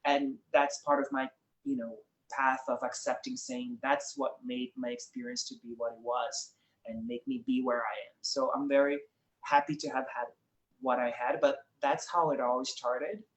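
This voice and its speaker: A young man from Brazil with a Brazilian accent speaks as a guest in a relaxed conversation. He is earnest and reflective and laughs easily, his voice sitting at a moderate pitch. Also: moderate pace, reverberant, low-quality recording. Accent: Brazilian Portuguese. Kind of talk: casual conversation.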